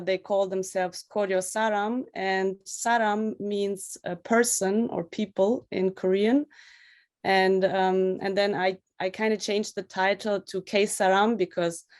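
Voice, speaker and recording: A woman's voice: mid-range voice, darkish tone. A woman from Russia, a film director, answering interview questions thoughtfully over a video call, searching for words as she explains. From Russia, raised in Ukraine.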